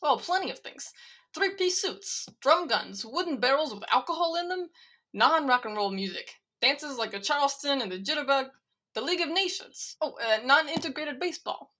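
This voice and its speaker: A woman's voice: high voice, expressive, normal speed, sharp tone. A woman playing a confident, stuffy 1940s Wall Street trader in a parody radio interview, speaking matter-of-factly. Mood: self-assured. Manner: matter-of-fact, assured.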